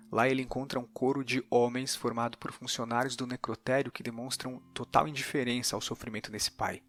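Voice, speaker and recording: A man's voice: mid-range voice, fairly bright tone. A man with a Brazilian accent hosting an interview podcast, moving between narrated summaries and conversational questions.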